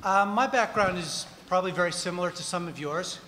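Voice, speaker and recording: A man's voice: high-pitched, a little fast, bright, forceful tone, a little hiss or hum. A man speaking as a panelist on real estate, casually polling the audience. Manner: conversational, polls the room. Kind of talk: panel talk engaging the audience. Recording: reverberant room, faint ambience.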